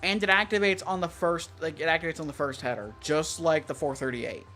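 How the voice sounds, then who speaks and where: An American enthusiast talks casually at a middle pitch, rambling through technical details. The delivery is opinionated and conversational, as on an online stream, with faint music behind it. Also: fairly quick, sharp tone. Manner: opinionated, rambling, listing features. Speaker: stream co-host, weather radio collector.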